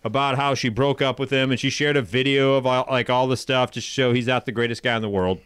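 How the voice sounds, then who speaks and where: A man's voice: mid-range voice, steady tone, fast, fairly bright tone, smooth, no room sound. A man co-hosting a casual show, bantering and retelling odd news stories with jokes and mock voices. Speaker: co-host.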